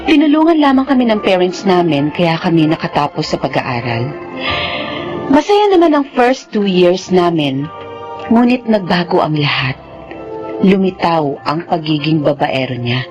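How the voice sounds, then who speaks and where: A woman's voice: lowish voice, very animated, measured pace, highs cut off, music in the background. An actress playing a bitter, protective young separated mother in a Filipino radio drama, with a Filipino accent. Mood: reflective, sad.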